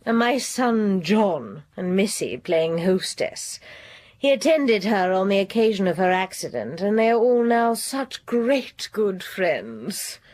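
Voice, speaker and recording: A flippant young society girl for a period drama. Her delivery is animated and swooping, full of mocking, gossipy sneers. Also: mid-range voice, takes it slowly. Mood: scornful. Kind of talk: period radio drama acting.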